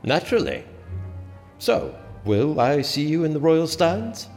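An actor playing a smug, self-assured sheriff in a medieval audio drama, talking in an urbane, teasing manner. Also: male voice, a little high, very animated, unhurried, gravelly, a little mellow, full character voice. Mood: smug, playful. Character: smug, confident male sheriff, a prince's trusted friend. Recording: reverberant hall with faint music behind.

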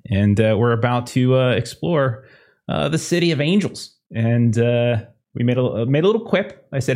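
A man opens a tabletop roleplaying session as host and game master. He rambles casually, stumbling over fillers and restarts, at an ordinary middle pitch. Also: darkish tone. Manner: rambling, many fillers, laughs at own jokes. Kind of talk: casual chat opening a tabletop game stream.

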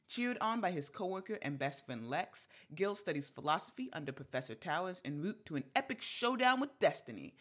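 Wry podcast host, very animated pitch. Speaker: host introducing the episode. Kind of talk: scripted narration with dry jokes.

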